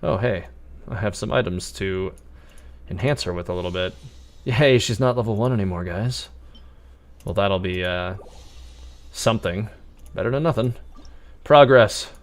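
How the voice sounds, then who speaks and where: Low-voiced man chatting through a game stream. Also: measured pace, long pauses. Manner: chatty, thinking aloud. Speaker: game livestreamer. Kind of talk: casual gameplay commentary. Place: indoors at a computer.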